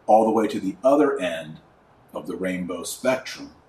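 Emphatic low-voiced man, roomy sound. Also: lively intonation, normal speed, darkish tone, far from the mic. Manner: emphatic, indignant, rhetorical questions. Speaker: podcast host and commentator. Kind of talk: religious commentary podcast, reading quotes and commenting.

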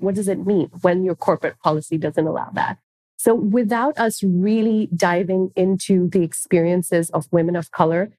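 A woman's voice: fairly low pitch, a little mellow, rough recording. A woman speaking on a panel, making her case through pointed rhetorical questions. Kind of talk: panel discussion.

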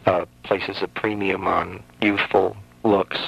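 A man with a low, gravelly voice hosts a radio call-in. He thinks aloud in a halting, searching way, full of ums. The sound is dull and lo-fi, like an old broadcast. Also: level tone. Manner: thoughtful, hesitant, lots of ums. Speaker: radio announcer hosting a call-in. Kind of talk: casual call-in radio chat. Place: radio studio.

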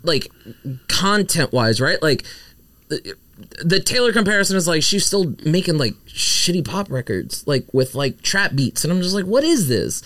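A man's voice: fairly high pitch, very animated, normal speed, a bit bright, dry recording. A man co-hosting a podcast, sharing opinions in casual chat with lots of "like" and "I mean". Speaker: podcast co-host.

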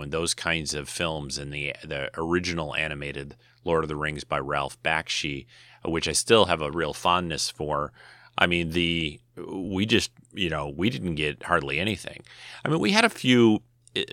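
A man's voice: a bass voice, sharp tone, no room sound. A middle-aged man with a dry throat, hosting a casual solo podcast, rambling with many restarts and fillers. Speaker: podcast host.

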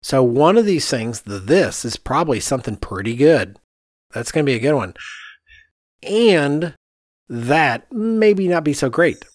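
A man's voice: on the high side, big pitch swings, unhurried, no echo, clean studio sound. A middle-aged man hosting a podcast in casual conversation, speaking candidly and emphatically and repeating phrases to make his point. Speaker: podcast host, architect.

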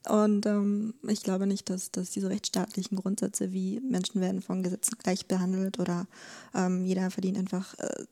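Female expert interviewee, soft mellow tone, little melodic movement, thinks aloud with repeated words. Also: medium pitch, monotone. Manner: explanatory, halting, repeats words while thinking. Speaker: podcast guest, legal expert.

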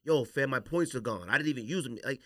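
A man hosting a podcast, talking casually with slangy, opinionated, animated delivery. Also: medium pitch, fast talker, no room sound, studio quality. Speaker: podcast host. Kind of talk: casual sneaker podcast debate.

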